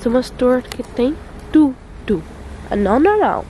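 A woman's voice: high-pitched, very animated, moderate pace, dark tone, slight background noise. A young Dutch-raised woman talks through a casual travel vlog in Portuguese, which is not her first language. She often stops to search for words and sometimes slips into English. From Netherlands. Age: young adult. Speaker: vlogger. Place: outdoors and indoors, apartment and streets.

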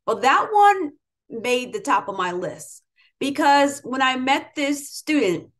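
A woman's voice: high voice, sing-song, slow pace, fairly bright tone. A middle-aged American woman, an educator, giving an emphatic motivational talk to students. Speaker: educator, interviewer. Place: home room with bookshelves, indoors.

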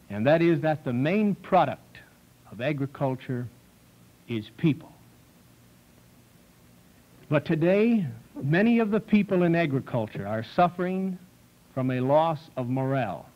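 Forties male pastor, slow and earnest address, 1960s film sound. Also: a little high, muffled recording. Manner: slow, earnest, measured delivery. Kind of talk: televised address to farmers. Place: small TV studio set. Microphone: desk stand mic.

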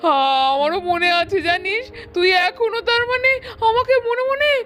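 A woman's voice: very high voice, moderate pace, fairly bright tone. A woman voicing a coy, sentimental elderly grandmother in a nostalgic puppet cartoon story. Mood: coy, delighted. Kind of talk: Bengali puppet cartoon voice acting.

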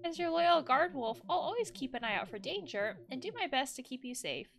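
A woman's voice: sits high, very animated, a little fast, vocal fry, heavily acted. A woman voicing a panicky, overprotective guard wolf from a video game, reading the dialogue with dramatic urgency. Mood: earnest. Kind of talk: reading visual novel dialogue aloud.

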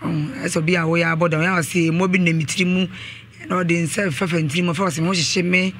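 A woman's voice: on the low side, steady tone, quick pace, slight background noise. A middle-aged woman giving a personal account as a guest in a radio studio interview. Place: small indoor radio studio. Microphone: desk microphone, close.

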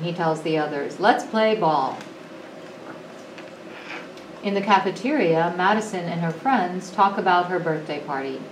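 A woman reading a children's picture book aloud with expressive, deliberate phrasing, as an educator would to pupils. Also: on the low side. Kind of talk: storybook read-aloud. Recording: roomy echo, clearly noisy background.

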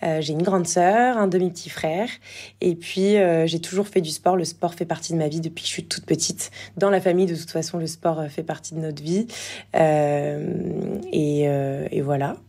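Woman in her twenties, podcast guest, warm dark tone, lowish pitch, hesitant conversational delivery. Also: steady tone, normal speed. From Normandy, France. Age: 20s. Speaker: podcast guest, former athlete and entrepreneur. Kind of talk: casual interview chat.

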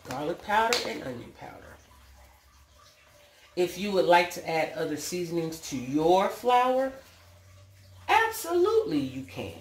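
An American woman talks through a home cooking demonstration in a casual, folksy way. Her pitch moves around a lot while her pace stays slow. The room rings around her, with clear noise behind. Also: expressive. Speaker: home cooking show host. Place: indoors in a home kitchen. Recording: roomy, clearly noisy home recording with clicks.

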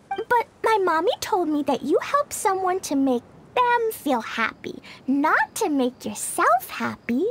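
A woman voicing an innocent, cheerful little girl in a video game, speaking simply and earnestly in a childlike voice. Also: exaggerated voice. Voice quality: childlike, high voice. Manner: simple, earnest, refers to herself by name.

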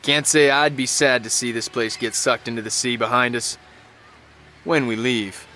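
An actor playing a cynical young US Army private with an American accent, narrating in a wry, profane, slangy way. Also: male voice, mid-range voice, fairly even intonation, normal speed, pushed and bright, slight background noise, lightly acted. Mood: wry, weary. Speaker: soldier narrator. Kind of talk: dramatic first-person game narration. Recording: music behind the voice.

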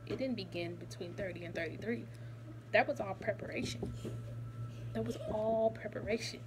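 Woman in her thirties, casual phone vlog, animated and chatty, mid-pitched, steady hum underneath. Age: 30s. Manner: animated, chatty, repeats words for emphasis. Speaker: vlogger. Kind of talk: casual chat to camera. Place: small office room indoors. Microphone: phone camera held close.

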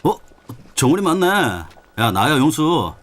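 A man playing a loud, pushy young plainclothes riot cop who talks nonstop, in a radio drama. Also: a little high, big pitch swings, takes it slowly, slight background noise, heavily acted. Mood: boisterous. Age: young adult. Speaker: plainclothes police. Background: background music.

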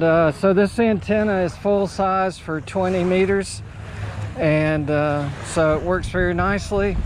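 Older american guy, high-ish voice, slow halting vlog chat outside, bit of background noise. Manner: halting, unhurried. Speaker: amateur radio operator and vlogger. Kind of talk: casual outdoor vlog narration and chat. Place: outdoors at a beachside park. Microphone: handheld action camera or phone at arm's length.

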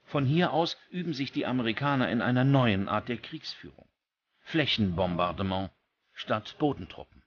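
A man's voice: medium pitch, expressive, moderate pace. A man reading scripted documentary narration as the narrator. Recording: dull recording with music bed. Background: background music.

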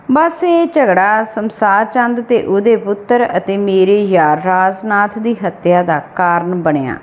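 A woman's voice: fairly high pitch, a little mellow. A woman reading a literary short story aloud as scripted audiobook narration.

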